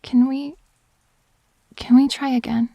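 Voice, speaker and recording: A woman playing a grieving, apologetic girlfriend who speaks haltingly and tenderly in an intimate audio drama. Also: high voice, little pitch movement, creaky, no echo, dull audio, exaggerated voice. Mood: pleading, hopeful. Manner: halting, tender, confessional delivery.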